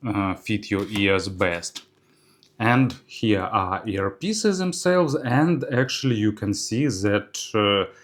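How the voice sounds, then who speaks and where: A man with a Ukrainian accent reviewing earphones and unboxing them, pausing often on "uh" fillers. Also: fairly low pitch. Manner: unhurried, halting, many "uh" fillers.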